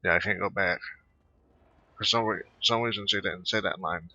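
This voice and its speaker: Very deep, husky, sharp-edged gamer guy. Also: moderate pace, no room sound. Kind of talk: casual let's-play commentary, reading game dialogue aloud. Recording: close, dull lo-fi microphone.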